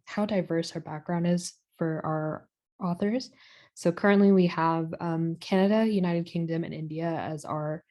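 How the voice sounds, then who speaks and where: Soft-voiced young woman presenting online. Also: on the low side. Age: young adult. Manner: hesitant, with fillers and self-corrections. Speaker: student presenter.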